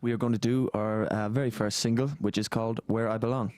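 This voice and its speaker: Low, even-toned young Irish man. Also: quick pace. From Ireland. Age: early 20s. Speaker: boy band singer, interview guest. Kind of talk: casual interview chat. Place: radio studio. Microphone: studio microphone.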